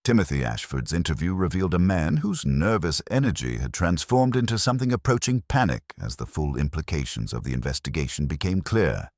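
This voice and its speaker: A man reading a scripted mystery story in a formal, measured literary manner, as an audiobook narrator. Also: rumbling low, big pitch swings, darkish tone, no echo, studio quality.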